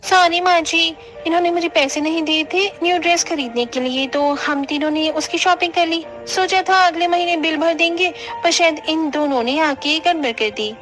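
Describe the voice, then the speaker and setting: A woman's voice: very high-pitched, normal speed, hardly any pauses, fairly bright tone, soft background music. A woman playing a vain, showy young modern daughter-in-law in a family cartoon. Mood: apologetic. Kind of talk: Hindi cartoon family drama dialogue.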